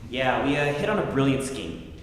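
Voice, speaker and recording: A man's voice: mid-range voice, animated, fairly quick, close to an everyday voice. A man playing a hard-boiled private detective in a 1940s-style radio comedy, with tough, melodramatic gangster talk. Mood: matter-of-fact. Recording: echoey hall with faint noise.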